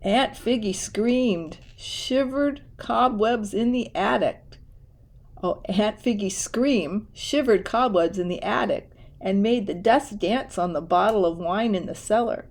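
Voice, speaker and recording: A woman reading a children's picture book aloud in a deliberate, expressive storytelling way, occasionally correcting herself. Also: medium pitch.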